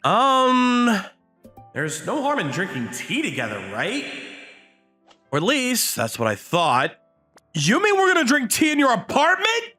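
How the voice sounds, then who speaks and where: A man's voice: very high voice, huge pitch swings, measured pace, bright, forceful tone, echoey room, long reverb tail, faint music behind, heavily acted. A man voicing an easily flustered, incredulous teenage schoolboy in a romantic comedy comic dub. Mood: inner musing. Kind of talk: anime-style comic dub, dialogue and inner monologue.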